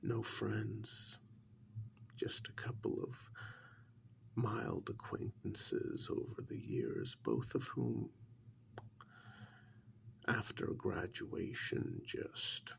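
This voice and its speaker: A man playing a calm, probing government agent in an ASMR role-play, speaking in a soft breathy half-whisper with a measured, condescending manner. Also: on the low side, exaggerated voice. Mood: coolly analytical. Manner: measured, condescending, deliberate with long pauses.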